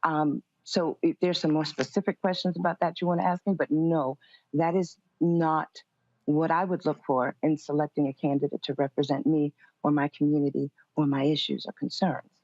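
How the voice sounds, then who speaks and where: A woman's voice: low-pitched, flat delivery, moderate pace, a little mellow, no room sound. A woman, a pastor appearing as a panel guest, giving conversational political commentary. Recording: dull band-limited audio.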